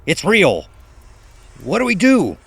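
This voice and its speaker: A man acts out a brash male sceptic in a horror audiobook. The voice is pushed up and emphatic, with a scornful edge and very lively swings. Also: high-pitched, slow pace, vocal fry, husky, dry recording, pitched above the performer's own voice, exaggerated voice. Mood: agitated.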